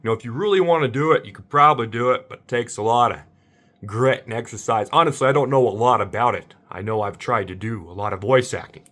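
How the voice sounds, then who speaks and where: A man's voice: fast talker, a bit bright. A man rambling casually to viewers as an amateur impressionist, sounding bewildered and circling back over his points. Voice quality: slightly deep voice.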